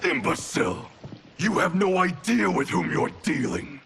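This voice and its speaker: An actor playing a haughty, menacing assassin villain in a video game, gravelly, contemptuous and formal. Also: male voice, sits high, big pitch swings, unhurried, exaggerated voice. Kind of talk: video game cutscene acting. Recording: loud noise behind dialogue.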